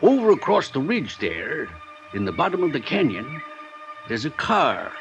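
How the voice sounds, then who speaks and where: An actor playing an old, shrewd prospector who has lived alone in the mountains for decades, talking in a drawling rural dialect, in a 1950s radio drama. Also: male voice, a little high, wildly swooping pitch, moderate pace, gravelly, music in the background, exaggerated voice. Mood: folksy. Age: older. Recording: dull old radio broadcast with faint hiss.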